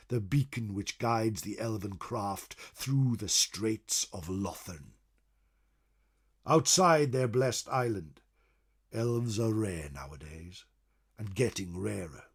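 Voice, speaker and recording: Man playing a husky, slow old fantasy chronicler. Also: lowish voice, full character voice. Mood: ominous. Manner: theatrical, portentous, pausing for emphasis. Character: venerable old male scholar chronicler of a fantasy world, proud, foreboding. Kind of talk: dramatic in-character storytelling narration.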